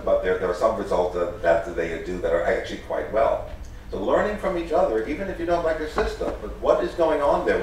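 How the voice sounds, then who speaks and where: A man's voice: lowish voice, a little mellow, a little hiss or hum. A middle-aged man raised in the Netherlands gives a formal lecture as a professor, speaking earnestly with many restarts. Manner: earnest, halting with frequent restarts. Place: large lecture hall with audience. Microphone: podium microphone. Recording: echoey hall, picked up from a distance.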